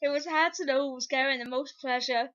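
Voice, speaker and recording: Casting: female narrator for comic fan-fiction dramatic reading, high bright voice, theatrical storytelling, slightly muffled lo-fi sound. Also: brisk.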